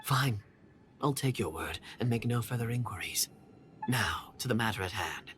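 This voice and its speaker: An actor playing a shrewd, secretive foreign agent in a video game, with a measured, formal, condescending manner. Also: male voice, on the low side, lively intonation, a bit bright, faint music behind. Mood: cool, businesslike.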